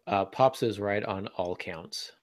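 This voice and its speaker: A man's voice: on the low side, unhurried, no echo. A man hosting a casual podcast discussion as a writer, talking in a wry, joking way. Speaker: podcast host and author.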